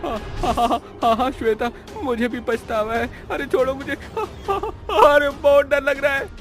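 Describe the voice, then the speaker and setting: A man's voice: very high-pitched, measured pace, a bit bright, soft background music, voice pushed up, heavily acted. A man voicing a frightened young husband in a cartoon, panicky and pleading. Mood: terrified, pleading. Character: terrified young husband begging his witch wife's forgiveness.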